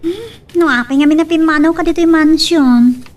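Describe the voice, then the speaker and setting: A woman's voice: sits high, moderate pace, far from the mic, natural voice. A woman acting in an Ilocano radio drama as a shy, polite young woman. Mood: shy, grateful.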